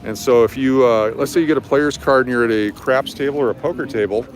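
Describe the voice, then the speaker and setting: A man's voice: mid-range voice, fast talker. Man guiding a walk on a noisy street. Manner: informal, explanatory. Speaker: livestream host and street tour guide. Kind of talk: casual live walking-tour commentary. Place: outdoors on city streets at night. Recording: noisy outdoor city street with traffic.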